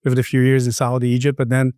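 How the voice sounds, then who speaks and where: A middle-aged man who grew up between the Middle East and Sweden talks as an interview guest. His voice is smooth and relaxed, recorded close and dry. He speaks reflectively, often repeating words as he feels for the next phrase. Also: mid-range voice, fast talker, no room sound. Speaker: podcast guest.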